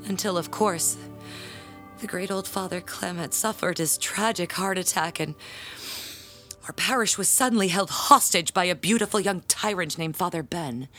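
A woman's voice: lowish voice, normal speed, fairly bright tone, faint music behind, close to an everyday voice. A woman acting in an audio drama as an aggrieved, pompous church handbell ringer, speaking in a theatrical, indignant manner. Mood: sour, flustered. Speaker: parishioner in confession.

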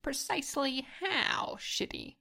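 A woman's voice: sits high, lively intonation, takes it slowly, gravelly, pushed and bright, full character voice. A woman playing a cocky, competitive young female gamer who talks in a mocking, teasing way and leans into her words with relish. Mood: playful, curious.

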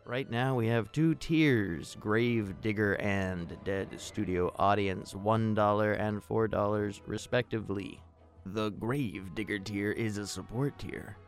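A man with a lowish, very creaky voice presents a scripted podcast announcement. His delivery is theatrical and dry, with a tongue-in-cheek edge, over faint background music. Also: takes it slowly. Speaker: podcast host.

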